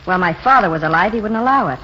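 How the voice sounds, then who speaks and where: An actress playing a wistful, dreamy young waitress in a 1940s radio drama, speaking in a plain, earnest way. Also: female voice, medium pitch, expressive, sharp tone. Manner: plainspoken and earnest, slower when reciting verse. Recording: muffled, noisy 1940s radio broadcast.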